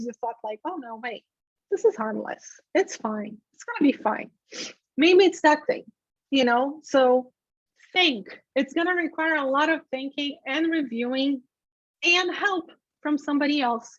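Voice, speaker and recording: A woman's voice: high-pitched, no echo. A woman who is a native Portuguese speaker, presenting an informal instructional webinar in a conversational, emphatic way, often checking in with listeners. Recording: dull, lo-fi webinar audio.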